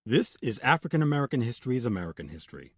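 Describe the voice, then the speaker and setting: A man hosting a history series, reading scripted narration in a measured, rhetorical way. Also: mid-range voice, very animated. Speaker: host, narrator.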